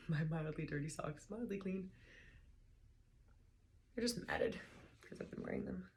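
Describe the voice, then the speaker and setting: Tired low-voiced woman with a hoarse, creaky voice. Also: slight background noise. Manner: tired, soft and unhurried. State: hoarse, slightly lost voice. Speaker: book reader vlogger. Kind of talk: casual chat then reading aloud.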